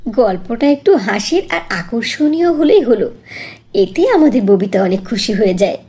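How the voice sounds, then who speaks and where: A woman's voice: fairly high pitch, expressive, moderate pace, dark tone, husky. A woman voicing a patient, playful young mother in a cartoon, with a coaxing way of talking. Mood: light teasing. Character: patient, playful young village mother telling bedtime stories. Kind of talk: Bengali cartoon dubbing.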